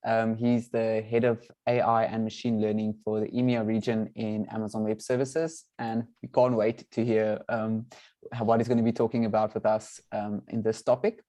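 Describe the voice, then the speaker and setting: A man's voice: on the low side, flat delivery, moderate pace, warm tone. A young man whose first language is Afrikaans, hosting an online webinar over a video call in a friendly way, with many "um"s and restarted phrases. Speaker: event host, product manager. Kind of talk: webinar introduction and audience poll.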